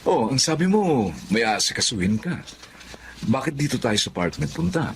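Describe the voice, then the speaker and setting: A man's voice: medium pitch, big pitch swings, moderate pace, gravelly. A man playing a loyal, uneasy husband in a Filipino drama, speaking in an earnest, conversational way. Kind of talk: Filipino radio-style drama acting. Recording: faint background noise.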